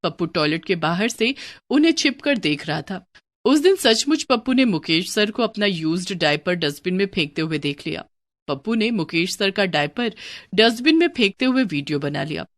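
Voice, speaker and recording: A woman's voice: mid-range voice, expressive, moderate pace, no room sound, clean studio sound. A woman narrating a scripted children's story.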